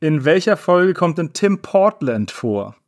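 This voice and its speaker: Ein Mann, der als Quizleiter in einem lockeren Podcast Begriffe vorliest und erklärt. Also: male voice, fairly high pitch, big pitch swings, a little slow. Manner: reads definitions aloud, explains. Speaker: quiz host. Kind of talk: casual podcast quiz.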